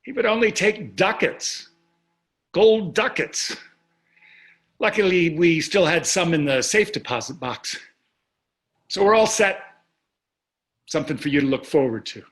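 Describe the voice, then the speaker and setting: Breezy, high-pitched wealthy husband, acted by a man. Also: expressive, a little slow, pauses often, a bit bright. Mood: pleased. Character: wealthy, breezy, self-satisfied American husband on a cruise ship. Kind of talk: staged play reading over video call.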